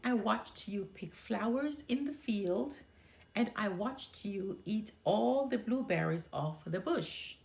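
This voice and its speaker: A woman's voice: mid-range voice, lively intonation, slow pace, vocal fry, a little mellow. A woman voicing a sly, hungry male wolf in a children's storybook, coaxing with false politeness. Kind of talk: children's storybook character reading.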